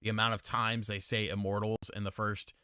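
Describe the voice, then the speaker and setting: A man with a bright, smooth voice hosts a casual gaming chat. He reads viewer messages and tells lively, swearing-heavy stories about arcades. The close mic leaves his voice dry and clean. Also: on the low side, brisk, no room sound. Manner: profane, animated storyteller. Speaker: podcast host reading super chats.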